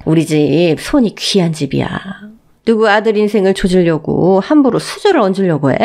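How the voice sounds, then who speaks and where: A woman's voice: medium pitch, natural voice. Woman acting a venomous, haughty mother-in-law, wildly swooping. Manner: sneering, rapid-fire berating. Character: haughty, venomous middle-aged mother-in-law, openly scornful. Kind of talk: radio story drama acting.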